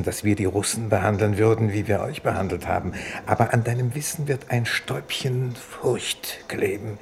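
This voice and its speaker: Grave, deep-voiced exile, gravelly. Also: male voice. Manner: measured, grave, declarative. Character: resigned, unbending exiled doctor in a penal colony on Venus. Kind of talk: 1950s German radio play acting. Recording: faintly noisy 1950s radio recording.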